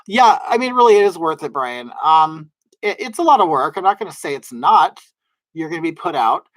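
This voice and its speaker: A man with a soft, feminine-sounding voice, rambling casually to his livestream viewers with lots of fillers and restarts. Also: fairly high pitch, very animated, a little fast, no echo. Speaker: livestream host. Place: at home in drag, wig on.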